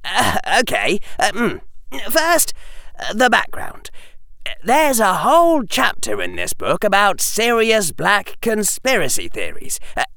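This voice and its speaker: A clever young boy, played by a male narrator in a storybook reading. He is excitable and wordy, stumbling a little as he explains, with a raised and very animated pitch. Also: a little slow, creaky, dry recording, clean studio sound, exaggerated voice. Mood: eager explaining. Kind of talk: audiobook character voice.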